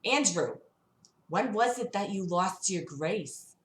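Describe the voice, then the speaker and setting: A woman's voice: mid-range voice, expressive, slow. A woman voicing a stern, righteous woman who reproaches a traitor in earnest tones. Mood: reproachful. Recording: roomy echo.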